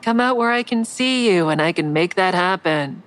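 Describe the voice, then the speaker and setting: Woman acting a low-voiced, guarded, sardonic heroine. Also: lively intonation, normal speed, close to an everyday voice. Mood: defiant. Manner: dry, defiant, wry. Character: guarded, sardonic woman, crisis counselor with secret kills. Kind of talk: audio drama dialogue and first-person narration.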